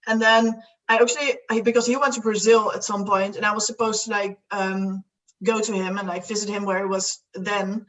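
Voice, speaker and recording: A woman's voice: mid-range voice, flat delivery, fairly quick, a bit bright, distant mic, low-quality recording. A young woman from the Netherlands, a guest chatting casually, rambling with many fillers and restarts. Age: young adult. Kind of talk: casual chat.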